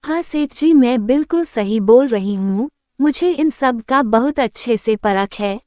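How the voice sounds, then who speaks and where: Clear-voiced shrewd village wife played by a woman. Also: fairly high pitch, expressive, measured pace, darkish tone, no room sound, clean studio sound. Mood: firm, assured. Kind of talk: Hindi cartoon story dialogue.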